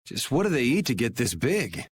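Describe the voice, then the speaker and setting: A man's voice: medium pitch, very animated, normal speed, slightly rough, dry recording. An actor playing a casual, cool-headed young swordsman in a game, with a wry, laid-back manner. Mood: curious, wry. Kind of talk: English game dub acting.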